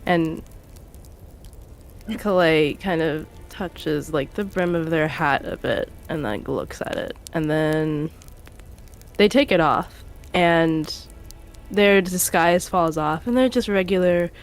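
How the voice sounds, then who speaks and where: A woman improvising narration of her character's actions in a tabletop role-play show, casual and halting, with music behind her. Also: low-pitched.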